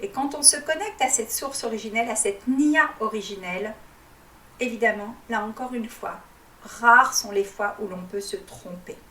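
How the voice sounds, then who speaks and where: A woman whose first language is Arabic, speaking as a life coach and guiding listeners through a reflection in a calm, teaching way with frequent hesitations. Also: fairly high pitch, normal speed, roomy sound, far from the mic. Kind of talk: guided reflection talk.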